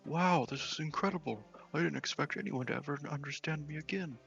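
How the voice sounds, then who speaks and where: A man's voice: fairly high pitch, brisk, creaky, fairly bright tone, highs cut off, faint music behind, pitched above the performer's own voice. Man acting out a plaintive, lonely fantasy game ghost. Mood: amazed. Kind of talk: game dialogue read in character.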